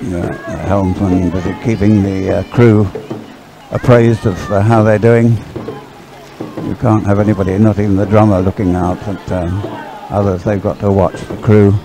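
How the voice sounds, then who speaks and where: A man's voice: on the low side, fairly even intonation, moderate pace, over music, low-quality recording. A man doing live sports commentary in a chatty, hesitant, rambling way.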